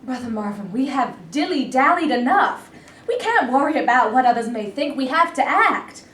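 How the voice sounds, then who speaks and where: A woman's voice: sits high, lively intonation, moderate pace. A woman playing a militant, impatient activist in a staged play reading, with a rallying manner. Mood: defiant, urging. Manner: forceful, rallying. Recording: echoey room, distant mic, background noise.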